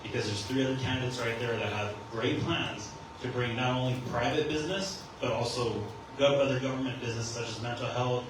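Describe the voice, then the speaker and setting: Local guy with a loud voice grilling the mayor, pretty far off in an echoey room. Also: mid-range voice, fairly even intonation, brisk, hardly any pauses. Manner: direct and pointed, says he has a loud voice. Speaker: resident asking a question. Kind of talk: audience question at a public forum. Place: public meeting hall. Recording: echoey hall, distant mic, noisy.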